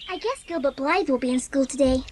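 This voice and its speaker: A woman's voice: high-pitched, brisk, darkish tone, slight background noise, lightly acted. An actress playing a chatty, earnest young schoolgirl in a dramatised audiobook, eager and full of exclamation. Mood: gossipy.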